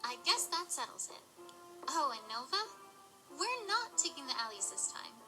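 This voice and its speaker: A young, studious unicorn mare acted by a woman, high and animated. She is frank and dryly confident in audio drama style, with clear music playing behind her. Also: moderate pace, low-quality recording. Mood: matter-of-fact.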